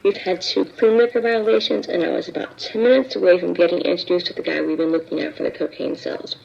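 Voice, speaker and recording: An actress playing a tense undercover vice officer who gives a quick, clipped report in an audio drama. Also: female voice, fairly low pitch, steady tone, vocal fry, darkish tone. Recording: muffled lo-fi radio sound.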